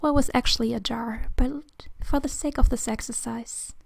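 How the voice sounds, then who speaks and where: A woman leading a guided meditation in a soothing, hushed, breathy voice with long pauses between phrases. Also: a little high, steady tone, no room sound. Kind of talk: slow guided meditation narration.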